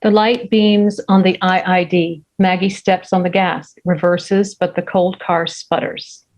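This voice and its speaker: A woman's voice: fairly low pitch, little pitch movement, unhurried, a little mellow, lo-fi sound. A woman reading out stage directions as scripted narration for a screenplay table read.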